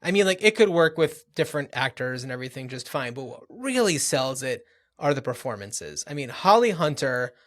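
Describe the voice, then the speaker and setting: A man's voice: medium pitch, sing-song, brisk, a bit bright. A man co-hosting a podcast, discussing movies casually and thinking aloud in a loose, conversational way. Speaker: podcast co-host.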